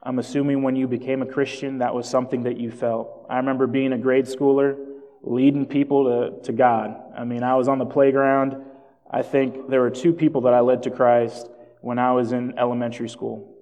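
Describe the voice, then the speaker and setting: A man's voice: mid-range voice, level tone, fairly quick, dark tone. A man born in Jamaica and raised in South Florida, preaching a sermon and telling stories with self-deprecating asides. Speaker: pastor. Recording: long hall reverb.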